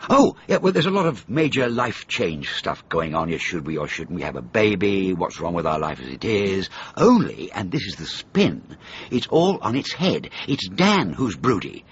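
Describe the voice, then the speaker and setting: Husky, flustered male writer. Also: low-pitched, wildly swooping pitch, normal speed, dull audio, exaggerated voice. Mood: eager pitching. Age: middle-aged. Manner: hesitant, stammering, defensive. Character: anxious, flustered ageing male sitcom writer. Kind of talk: naturalistic radio comedy drama acting.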